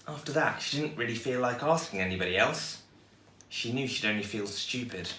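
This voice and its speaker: A man reading a children's story aloud in an expressive storytelling style. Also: medium pitch, a little fast, slight background noise. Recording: echoey room, distant microphone.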